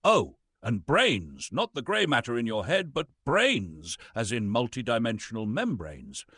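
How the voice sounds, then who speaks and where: Man acting as grand theatrical thespian lecturer, pompous and sardonic, swooping intonation, husky tone, dramatic pauses. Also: medium pitch, wildly swooping pitch, pushed and bright, no room sound, full character voice. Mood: arch, amused. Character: pompous Shakespearean actor lecturing on physics, theatrical and waspish. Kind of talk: theatrical comic lecture, scripted narration.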